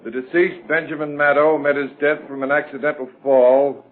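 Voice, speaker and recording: An actor playing a formal, impassive coroner's jury official in 1940s radio drama style. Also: male voice, on the high side, level tone, normal speed, natural voice. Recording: narrow, faintly noisy 1940s radio recording.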